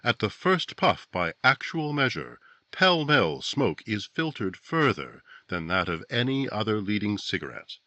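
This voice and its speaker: A man playing a grave, brooding narrator of a 1950s true-crime radio drama, speaking in a dramatic, deliberate way. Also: fairly low pitch, very animated, pushed and bright, no echo, exaggerated voice. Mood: emphatic, selling.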